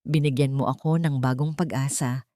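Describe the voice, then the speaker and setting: A woman narrator voicing a tender, loving young mother talking softly to her newborn in a story. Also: extremely low pitch, steady tone, moderate pace, no echo, clean studio sound, voice pushed down. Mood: tender, hopeful. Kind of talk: storybook-style drama narration.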